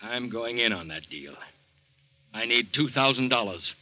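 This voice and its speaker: An actor playing a meek, henpecked failed-artist husband in 1940s radio acting, hesitant and subdued. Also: male voice, mid-range voice, expressive, measured pace, natural voice. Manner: hesitant and subdued, turning bitter. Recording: dull old radio broadcast recording.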